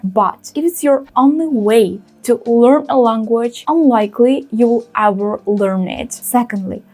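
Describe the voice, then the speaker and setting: A woman's voice: on the high side, dark tone. A young woman whose first language is Ukrainian, giving direct, opinionated advice to camera as a language vlogger. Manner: direct, opinionated, with quick asides. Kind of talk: casual talking-to-camera advice.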